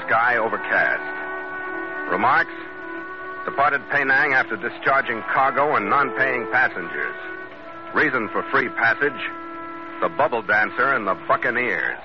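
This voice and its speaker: An actor playing a seasoned, commanding ship's captain in a 1940s radio adventure, speaking in a terse, hard-boiled way. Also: male voice, medium pitch, expressive, unhurried, pauses often, lightly acted. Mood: terse, formal. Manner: clipped, hard-boiled delivery. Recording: muffled old radio recording, loud music.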